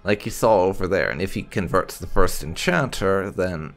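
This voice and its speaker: A man's voice: low voice, normal speed, vocal fry, soft background music. A man hosting a let's-play, giving casual, musing commentary as he plays. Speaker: gaming channel host.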